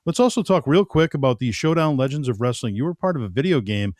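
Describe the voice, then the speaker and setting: A man's voice: mid-range voice, lively intonation, fast, a little mellow, no room sound, studio quality. Upbeat man hosting a podcast. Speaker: podcast host. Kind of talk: interview hosting.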